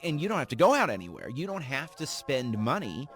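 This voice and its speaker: A man's voice: medium pitch, very animated, a little fast, a bit bright, faint music behind. A man giving expert interview commentary, emphatic and fond of repeating his sentence openings. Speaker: radio creative director, expert interviewee.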